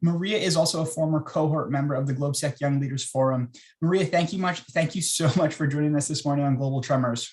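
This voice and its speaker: A man hosting an interview show, reading out the news highlights and then asking questions in a conversational way with frequent fillers. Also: fast talker, a little mellow. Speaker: interview host.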